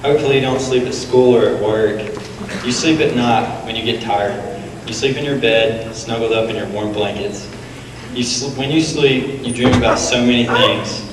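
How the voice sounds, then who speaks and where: A man's voice: medium pitch, normal speed. A young man, a student, performing a readers theatre monologue in simple short statements. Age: teens to young adult. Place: school auditorium stage. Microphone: stand mic, heard from distant camera. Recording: echoey hall, distant mic, noisy.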